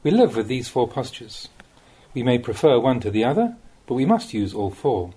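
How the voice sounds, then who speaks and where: Mellow, husky man reading spiritual teachings expressively. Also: medium pitch, sing-song, moderate pace. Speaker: monk reading Buddhist teachings. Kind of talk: read-aloud Dhamma talk, audiobook narration.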